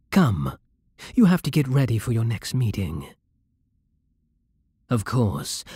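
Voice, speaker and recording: A seductive, imperious vampire king played by a man speaks with a British accent in a soft, very breathy voice. His tone is dark and mellow, with expressive swings in pitch as he gives possessive commands. It is an intimate ASMR roleplay with a dry, close sound. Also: dry recording, full character voice. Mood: teasing, imperious. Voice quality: breathy, hushed.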